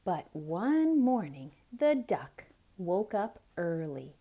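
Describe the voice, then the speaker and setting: Female children's storyteller, theatrical and dramatic, unhurried, with wide swings in pitch. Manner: expressive, dramatic, explaining things to children. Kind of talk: theatrical picture-book read-aloud.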